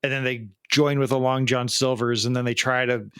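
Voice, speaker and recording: This is a man in his late thirties with an American Midwestern accent. He chats casually as a podcast co-host, tossing in sardonic jokes in a mid-pitched voice. The sound is dry, with almost no room. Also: flat delivery, normal speed, a bit bright, clean tone, dry recording. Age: late 30s. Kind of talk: casual comedic chat.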